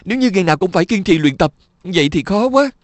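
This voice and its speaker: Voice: medium pitch, animated, fast talker, no echo, natural voice. Voiced chubby schoolboy, a bit whiny. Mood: whiny puzzled. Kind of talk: cartoon dubbing.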